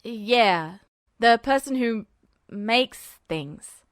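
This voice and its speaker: A woman voicing a weary, guarded teenage boy who speaks halting, terse English as a foreigner in a fan fiction reading. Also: fairly high pitch, lively intonation, no room sound, studio quality. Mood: halting, somber. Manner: halting, terse phrases in an unfamiliar language.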